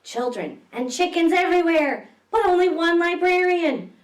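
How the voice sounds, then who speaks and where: A woman's voice: very high-pitched, animated, normal speed, reverberant, far from the mic, voice pushed up. A woman voicing a firm female librarian from a storybook, calling out emphatically to an unruly crowd. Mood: exasperated.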